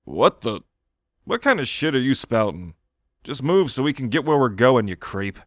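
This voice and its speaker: A man's voice: medium pitch, very animated, normal speed, no room sound, studio quality, close to an everyday voice. A man playing a cocky, irritable male storm god with a blunt, casual way of talking in a fantasy audio roleplay. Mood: annoyed.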